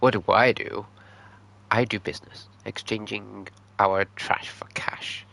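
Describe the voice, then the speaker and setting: A man playing a gruff, unwelcoming desert villager in a video game, speaking in a halting mutter. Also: lowish voice, steady tone, bright, forceful tone, full character voice, rough recording. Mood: curt, dismissive. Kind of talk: reading game dialogue in character.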